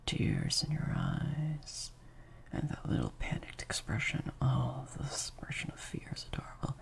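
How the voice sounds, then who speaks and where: A man playing a slightly sadistic vampire master in an improvised audio roleplay, softly taunting and praising in a breathy, creaky near-whisper. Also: medium pitch, full character voice. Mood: taunting, hungry. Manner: slow, drawn-out, softly taunting.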